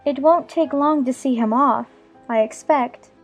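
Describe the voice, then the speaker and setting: A woman's voice: high-pitched, a little slow, soft background music. A woman playing a polite, curious young girl in a dramatized storybook audiobook, on a slightly dull recording. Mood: musing.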